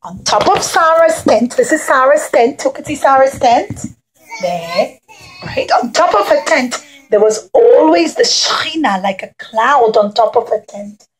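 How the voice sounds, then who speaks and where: A woman's voice: high voice, animated, a little slow, slight background noise, poor audio. A woman storyteller presenting a puppet show for young children, speaking in simple short phrases and addressing them directly.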